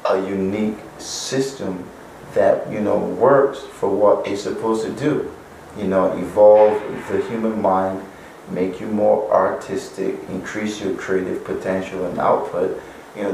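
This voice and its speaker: Deep-voiced man, echoey and distant. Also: a little mellow. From Barbados. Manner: rambling, many "you know" fillers. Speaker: author and guest. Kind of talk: interview answers, spiritual teaching. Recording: echoey far-off room, faint noise.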